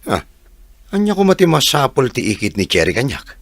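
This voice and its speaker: A man plays an easygoing Filipino engineer with a medium, very lively voice. It is radio comedy acting with a dry, unechoed sound. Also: mid-range voice, brisk, dry recording. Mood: amused, puzzled. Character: easygoing engineer, boss at a construction site.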